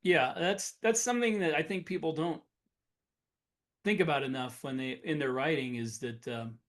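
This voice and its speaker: A man's voice: on the high side, normal speed, a bit bright. A man co-hosting a podcast, explaining his opinions in casual conversation. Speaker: podcast co-host.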